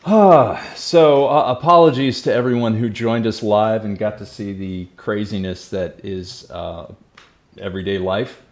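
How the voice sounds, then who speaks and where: A man's voice: on the low side, a little slow. A man hosting a podcast, upbeat and friendly, asking his guests questions in casual chat. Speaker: podcast host.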